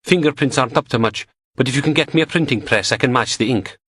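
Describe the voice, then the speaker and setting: A man's voice: mid-range voice, fast talker, fairly bright tone, breathy edge, no room sound, clean studio sound, voice pushed up. A man voicing a playful, teasing young goth woman working as a lab technician in an audiobook.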